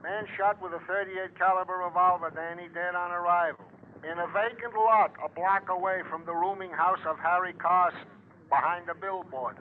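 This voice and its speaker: Actor as high-voiced, officious police desk man. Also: steady tone, normal speed, close to an everyday voice. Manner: florid, officious. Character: formal, chatty police desk man delivering reports. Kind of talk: 1950s radio crime drama acting. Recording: muffled, noisy old radio recording.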